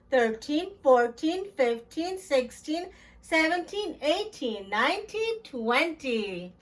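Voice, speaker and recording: Female children's story-time host: high-pitched, swooping intonation, expressive read-aloud delivery aimed at young kids. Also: poor audio.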